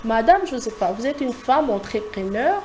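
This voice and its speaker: A woman hosts a talk show interview in French. Her voice sits a little high for a woman. Noticeable background noise and ambience run behind her questions. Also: lively intonation, moderate pace, dark tone. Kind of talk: TV interview, conversational. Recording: clearly noisy background ambience.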